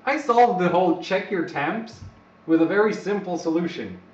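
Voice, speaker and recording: A man's voice: sits high, lively intonation, moderate pace, darkish tone, distant mic. A man who is not a native English speaker, rambling and joking on a live stream to his viewers. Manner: rambling, joking, talks to himself. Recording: echoey room, away from the mic, dull lo-fi sound.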